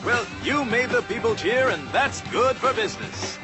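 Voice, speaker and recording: An actor playing a slick, showy, bloodthirsty race announcer in a video game, smarmy and theatrical. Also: male voice, very high-pitched, moderate pace, over music. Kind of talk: hyped sports broadcast commentary in a video game.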